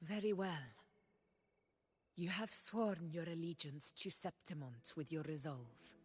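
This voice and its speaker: An actress playing a dignified, commanding warrior ruler in formal video game dialogue. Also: female voice, deep voice, slow pace, husky, no room sound, exaggerated voice. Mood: proud, rousing. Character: resolute female warrior sovereign, commanding and dignified. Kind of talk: scripted video game acting.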